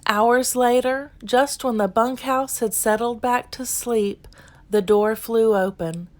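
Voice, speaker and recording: Slow, higher-voiced woman reading a storybook. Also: fairly even intonation. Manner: deliberate dramatic storytelling. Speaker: children's book reader. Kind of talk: expressive scripted storybook narration.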